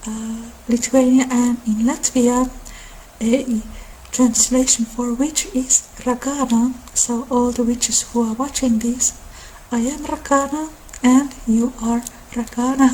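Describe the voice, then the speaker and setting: A woman hosting an informal ASMR-style talk in an unhurried, halting delivery. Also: on the high side, mellow, slight background noise, poor audio. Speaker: video channel host.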